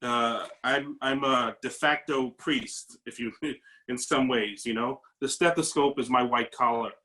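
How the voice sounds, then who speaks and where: A man's voice: mid-range voice, measured pace, pushed and bright. A man, a paramedic, sharing an earnest, searching reflection in a discussion over a video call. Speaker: paramedic audience member.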